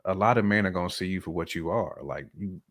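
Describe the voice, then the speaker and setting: Casual podcast voice: American man, lower register, colloquial and slangy, leaning on repetition for emphasis. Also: fast, fairly bright tone.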